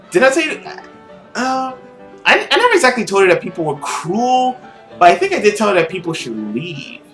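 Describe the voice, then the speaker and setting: A woman hosts a let's play, reading story narration aloud and dropping casual asides. Her pitch is highly animated, and faint music plays behind her. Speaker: gaming host reading a visual novel aloud.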